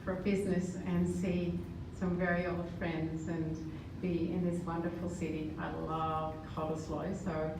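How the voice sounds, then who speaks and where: A middle-aged woman from the Netherlands addresses a live audience as a motivational business speaker. Her voice is on the low side and heard from a distance, in a very echoey space. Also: flat delivery, a little slow, few pauses. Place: roomy live event venue. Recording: echoey, distant, noisy room recording.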